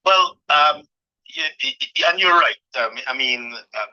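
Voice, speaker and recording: A man's voice: a little high, big pitch swings, measured pace, vocal fry, bright, forceful tone. A middle-aged man from Guyana, a guest on an interview show, answering with many fillers and restarts. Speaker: guest, president of a community association. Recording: dull, lo-fi sound.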